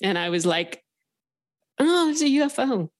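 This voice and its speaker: A woman from Southern California calling in to a podcast, telling her story in an animated, rambling way full of filler words. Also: fairly high pitch, normal speed. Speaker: caller sharing a paranormal story. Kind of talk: casual storytelling on a phone-in podcast.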